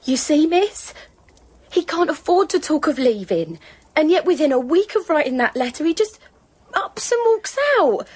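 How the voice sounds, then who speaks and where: A woman's voice: sits high, sing-song, moderate pace, darkish tone. A woman playing an anxious, humble wife in a radio drama, stammering and deferential. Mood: distraught. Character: anxious, humble young wife of a missing courier.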